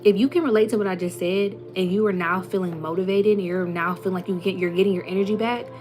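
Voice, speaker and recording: A young woman giving a casual, emphatic motivational talk to her viewers, full of slang and little acted-out voices, with soft music playing underneath. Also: mid-range voice, fairly even intonation, quick pace. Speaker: spiritual content creator.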